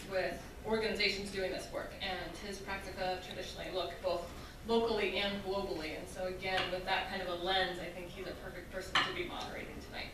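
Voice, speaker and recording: A woman's voice: medium pitch, noisy background. A woman introducing a moderator at a university event, hesitant with frequent ums and uhs. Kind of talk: spoken introduction at a public event. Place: university lecture hall. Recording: echoey hall from far off.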